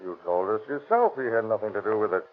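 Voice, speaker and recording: A coaxing police detective. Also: male voice, lowish voice, sing-song, fast. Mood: persuasive. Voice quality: earnest, coaxing. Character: persuasive male police detective. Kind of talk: 1930s radio drama acting. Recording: tinny old radio broadcast.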